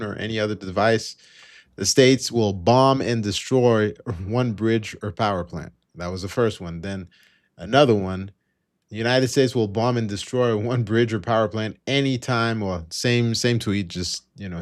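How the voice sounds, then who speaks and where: Low-voiced man on a trading livestream. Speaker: live trading stream co-host. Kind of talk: casual live market commentary.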